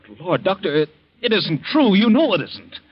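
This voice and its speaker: An actor playing an indignant, incredulous husband suspected of murder in a 1940s radio melodrama, protesting haltingly. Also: male voice, high-pitched, sing-song, breathy edge, exaggerated voice. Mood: desperate. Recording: dull vintage 1940s radio recording.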